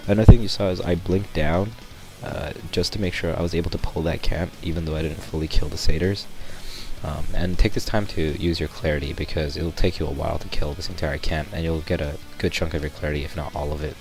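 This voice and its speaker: Sick young guy, raspy and super deep, talking over a game with loud music behind. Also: steady tone, fast. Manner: rambling, many ums and restarts. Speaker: gameplay commentator. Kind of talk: casual gameplay tutorial voice-over.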